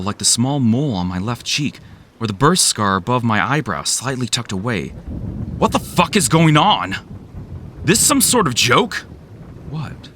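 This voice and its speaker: A man's voice: mid-range voice, a bit bright, slight background noise. A man acting in a thriller audio drama as a wary, sardonic young man who narrates his own story.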